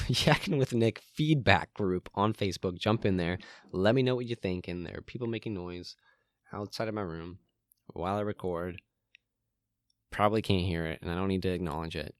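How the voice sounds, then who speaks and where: Young guy podcasting alone in his room, really low voice, kinda hoarse, lots of ums, dry close sound. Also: no echo. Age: young adult. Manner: rambling, frequent ums and restarts. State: voice cracking, slightly hoarse. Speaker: podcast host. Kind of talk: solo podcast monologue.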